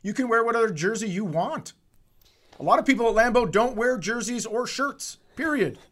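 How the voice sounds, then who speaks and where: A man's voice: very high-pitched, lively intonation, normal speed, a bit bright. A man co-hosting a podcast, telling stories in a casual, blunt and sardonic comic manner. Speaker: podcast co-host.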